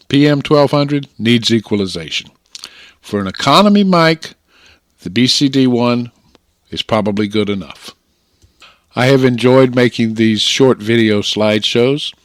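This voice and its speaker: A man's voice: mid-range voice, no room sound. Plainspoken American man, dry close-miked narration. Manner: plainspoken, folksy, deliberate phrasing. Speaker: amateur radio hobbyist presenter. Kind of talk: instructional slideshow narration. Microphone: close broadcast-style microphone.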